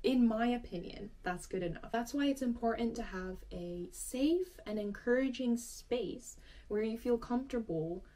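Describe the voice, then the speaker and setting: A woman's voice: fairly high pitch, normal speed, warm tone. Encouraging woman teaching language basics. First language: Finnish. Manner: friendly, encouraging, explains step by step. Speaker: language teacher and channel host. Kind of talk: casual explanatory lesson to camera.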